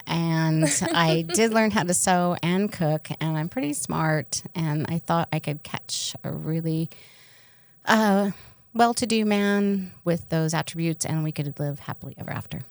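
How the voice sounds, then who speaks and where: A woman's voice: lowish voice, dry recording. A woman co-hosting a podcast, chatting candidly and telling personal stories with bawdy, joking frankness. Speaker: podcast co-host. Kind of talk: candid casual chat, personal storytelling.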